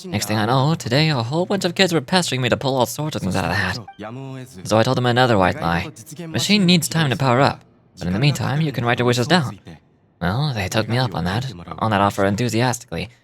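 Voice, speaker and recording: A man's voice: mid-range voice, lively intonation, fast talker, lightly acted. A man voicing a kindly, modest stage magician from a game, reading his diary in a reflective way and occasionally stumbling over words. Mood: rueful, amused.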